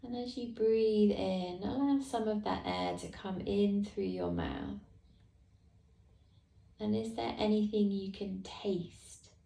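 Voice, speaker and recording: A woman meditation teacher guides listeners with a soft, unhurried voice. Her delivery is very slow and low-pitched, with a mellow, slightly gravelly texture. The recording has a roomy echo around her. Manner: slow, soft, calm guidance with long pauses.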